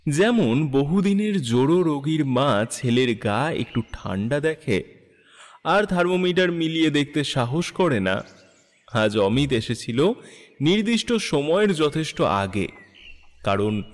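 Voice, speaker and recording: Animated male storyteller in a reverberant hall. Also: a little high, slow pace. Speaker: story narrator. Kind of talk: dramatic literary scripted narration.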